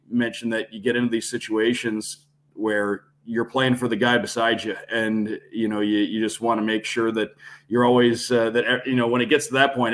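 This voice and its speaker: Fluent man giving sports analysis. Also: medium pitch. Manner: fluent, expansive explanations. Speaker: podcast guest, radio play-by-play broadcaster.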